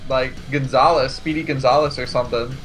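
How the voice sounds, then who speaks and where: A man's voice: medium pitch, steady tone, brisk, over music. A young man casting esports, cracking silly jokes and laughing through casual banter on a headset. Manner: playful, jokey, laughs often. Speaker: esports caster. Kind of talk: casual chat between casters.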